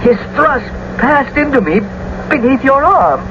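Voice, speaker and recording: A man's voice: very high voice, big pitch swings, unhurried, heavily acted. Bitter, mocking dying young nobleman, muffled. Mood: bitter, pained. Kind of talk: theatrical radio drama acting. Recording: muffled, noisy old radio broadcast recording.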